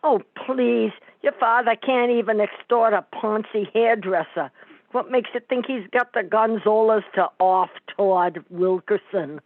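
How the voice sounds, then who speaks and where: A woman's voice: medium pitch, a little slow, no echo. A woman playing a nagging, sarcastic mob wife and mother in an amateur old-time radio comedy, heard through a thin sound. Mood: scornful. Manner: sarcastic, needling mobster-wife slang. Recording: thin phone-like sound, dry.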